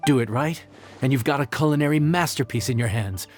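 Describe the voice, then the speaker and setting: Man playing a measured young chef, mid-range voice. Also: mid-range voice, faint music behind, close to an everyday voice. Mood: earnest, instructive. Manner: measured, explanatory. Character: modest young male chef, patient, earnest, quietly exacting. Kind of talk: video game voice acting.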